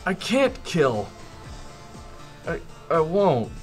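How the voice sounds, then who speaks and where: A man plays a desperate suburban father in an audio drama, his voice pitched high and swinging wildly as he pleads and stammers against taking a life. Faint music runs underneath. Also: wildly swooping pitch, drawn-out words, heavily acted. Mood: anguished pleading. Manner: pleading, strained, hesitant. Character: anguished suburban father refusing to kill. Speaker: protagonist. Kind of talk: amateur audio drama acting.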